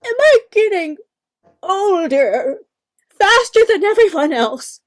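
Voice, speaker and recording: An aging mother, played by an older woman in an amateur radio play, puzzled and affectionate. The voice is high and swings widely in pitch, with a plaintive, wondering air. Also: very animated, slow, heavily acted.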